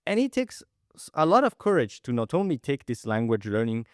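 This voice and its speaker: A young man from Italy hosts a podcast conversation with a lively, swooping pitch. He talks with eager enthusiasm, rambling through fillers and restarts. The sound is close and dry. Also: brisk, no room sound. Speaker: podcast host. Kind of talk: casual interview chat.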